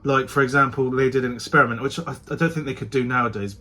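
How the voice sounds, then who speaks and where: A man's voice: medium pitch, fast talker, bright, forceful tone. A man vlogging in a casual chatty style, often hedging and correcting himself as he goes. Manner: self-correcting, hedging his claims. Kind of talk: casual talk to camera.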